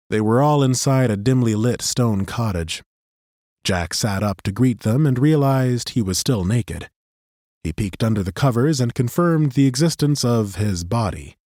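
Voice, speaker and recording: A man narrating a fantasy audiobook in a measured, steady storytelling manner on a close studio mic. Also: fairly low pitch, no room sound.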